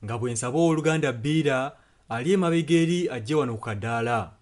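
A man's voice: mid-range voice, fast, a little hiss or hum. A man interpreting a sermon, following the preacher phrase by phrase. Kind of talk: spoken and sung translation of a sermon.

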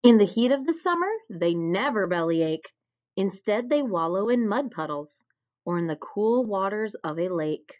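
Woman acting an easygoing male bear, picture book animal, expressive swings, muffled sound. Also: medium pitch, normal speed, lightly acted. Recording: dull, lo-fi recording.

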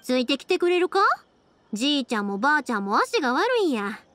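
A woman's voice: sits high, very animated, quick pace, a bit bright, no echo. A woman playing a cheerful young fireworks maker in an anime game, breezy and friendly, with a Kansai accent. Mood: cheerful, helpful. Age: young adult. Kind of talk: Japanese anime game voice acting.

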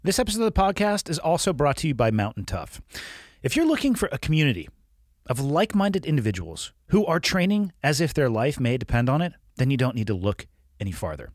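A man's voice: very animated, fairly quick, no room sound, studio quality. A man with a head cold, sounding a little nasal, reading sponsor ads as a podcast host in an upbeat, emphatic way. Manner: upbeat, emphatic sales pitch. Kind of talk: ad read.

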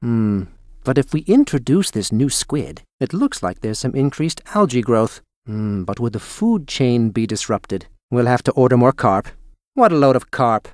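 Performer as comic game biologist, nerdy, easily exasperated, very animated intonation, theatrical, dry sound. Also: mid-range voice, moderate pace, dry recording, lightly acted. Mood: preoccupied, dry. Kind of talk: exaggerated comic video game voice acting.

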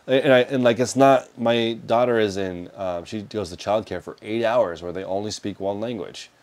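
Low-voiced man chatting casually. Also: normal speed, darkish tone. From United States. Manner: practical, conversational, gestures with his hands while talking. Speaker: reselling business host answering viewer questions. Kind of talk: casual livestream Q&A. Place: indoor storage room lined with shelves of boxes. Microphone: clip-on lapel mic.

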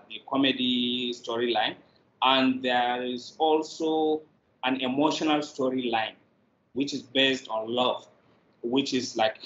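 A middle-aged Nigerian man explains things in an interview over a video call. His pitch is mid-range, and the sound is roomy and a little muffled. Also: slight background noise. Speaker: guest, drama producer. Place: indoor room, video call.

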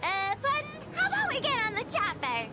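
An actress playing a bubbly, scatterbrained young female jester villain with a squeaky, chirpy voice. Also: wildly swooping pitch, brisk, full character voice. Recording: game audio over loud music.

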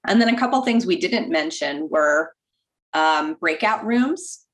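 A woman in her forties, a doctor guest on a video call, explaining practical tips in a clear, instructive way through wired earbuds. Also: fairly low pitch, animated, a little slow, low-quality recording. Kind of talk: interview answers, practical tips. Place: home office with bookshelf.

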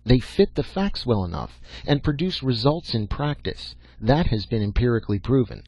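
A man's voice: mid-range voice, sing-song, moderate pace, darkish tone, breathy edge, no room sound, pitched above the performer's own voice. A man voicing a crusty old professor in an audiobook, lecturing bluntly and with emphasis. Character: old, crusty, commanding male professor and mentor. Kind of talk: audiobook character acting. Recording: dull lo-fi audio.

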